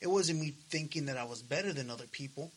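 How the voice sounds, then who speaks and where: A man's voice: fairly high pitch, quick pace, a little hiss or hum. A man in his thirties chatting casually as a podcast co-host, earnest and slangy, swearing freely. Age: 30s. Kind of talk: casual personal chat.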